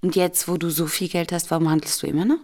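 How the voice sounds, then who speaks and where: A woman with a fairly low voice puts pointed, challenging questions. She is recorded very dry, with no room sound. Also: fairly even intonation, fast, darkish tone, dry recording. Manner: probing, challenging.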